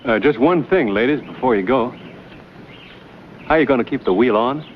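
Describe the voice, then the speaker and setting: An actor playing an easygoing, helpful American frontiersman in a period TV drama, talking in a relaxed drawl with hesitant uhs. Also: medium pitch, normal speed, dull audio, very noisy, lightly acted. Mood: explaining.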